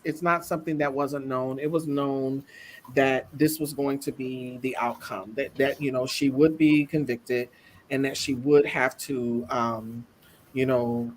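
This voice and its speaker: A woman with a deep, resonant voice co-hosting a casual talk show, reading news aloud and then talking it over earnestly, with many restarts. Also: a little hiss or hum.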